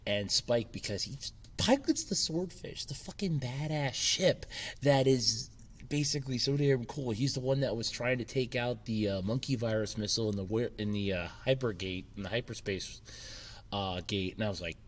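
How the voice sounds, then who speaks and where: A man's voice: fairly low pitch, a bit bright. Rambling man with a scratchy cold voice. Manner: rambling, informal, with frequent fillers and restarts. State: slightly scratchy, recovering from a cold. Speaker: YouTube channel host. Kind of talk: casual Q&A vlog chat. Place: temporary makeshift room with echo. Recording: echoey temporary room.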